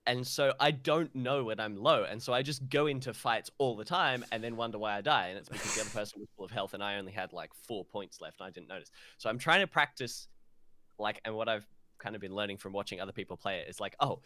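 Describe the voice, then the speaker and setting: A man's voice: fairly low pitch, fast, bright, forceful tone, no echo. A man co-hosting a podcast, chatting casually about games with a friend. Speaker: podcast co-host.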